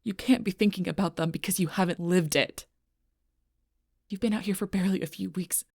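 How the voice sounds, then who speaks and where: A woman playing a gruff, stubborn, protective female alpha werewolf in an intense audio roleplay, blunt and often hushed, with a husky voice. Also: fairly low pitch, fairly even intonation, fairly quick, dry recording, studio quality. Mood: frustrated, lecturing. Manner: intense, blunt, swearing, often dropping to whispers.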